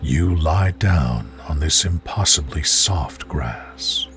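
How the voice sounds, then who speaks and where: Male narrator for guided sleep visualization. Deep, very slow, soothing and measured, with music underneath. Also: lively intonation. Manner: soothing, gentle, measured delivery. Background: music behind the voice.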